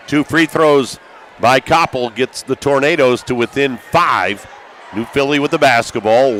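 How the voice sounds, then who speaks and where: An American man doing live basketball play-by-play as a broadcaster, excitable and raising his voice with the action. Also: mid-range voice. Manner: excitable, calls out action with raised voice. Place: courtside in high school gymnasium. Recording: live gym broadcast with background noise.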